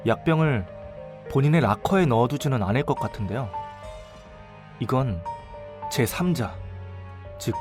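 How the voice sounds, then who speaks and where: Male actor, young investigator, composed, analytical, explanatory, medium pitch, background music, audio drama. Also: lightly acted. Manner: measured, explanatory. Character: composed, observant young male investigator telling his case. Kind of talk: audio drama narration and dialogue.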